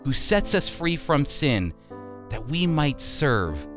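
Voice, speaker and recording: A man's voice: fairly high pitch, sing-song, takes it slowly, music in the background. A man giving earnest, emphatic Bible teaching in the style of a sermon, using rhetorical questions. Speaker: Bible teacher and preacher on a religious programme.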